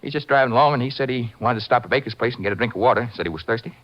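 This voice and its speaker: A man's voice: medium pitch, very fast, close to an everyday voice. An actor playing a sullen small-time crook in a 1950s radio drama, offhand, folksy and scornful as he confesses. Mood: scornful, defensive. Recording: dull 1950s radio recording.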